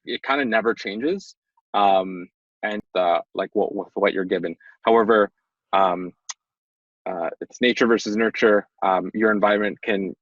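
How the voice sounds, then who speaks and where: A man in his twenties, a podcast guest, explaining things in a relaxed, rambling way with many fillers. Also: lowish voice, no room sound, dull audio. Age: 20s. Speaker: guest, startup tech executive. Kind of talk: casual interview chat.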